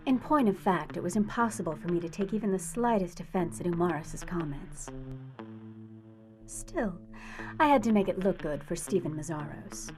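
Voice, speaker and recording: A woman's voice: fairly low pitch, expressive, moderate pace, over music, close to an everyday voice. A woman playing a cunning lady thief who poses as a charming singer, polished and knowing, in a radio drama. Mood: wry, confiding. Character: cunning, poised lady cat burglar posing as charming singer. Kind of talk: radio drama acting with first-person narration.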